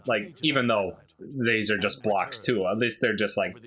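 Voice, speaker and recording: Guy with a lowish bright voice doing casual game commentary, explains stuff as he goes. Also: moderate pace. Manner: explanatory, filler-heavy asides. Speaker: gaming video commentator. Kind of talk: casual chat over game cutscenes.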